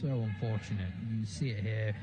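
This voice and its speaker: A man working as co-commentator on a futsal match, calmly reflecting on each chance after the lead caller. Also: moderate pace, background noise. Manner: calm, reflective, lower-key than the lead. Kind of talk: match analysis.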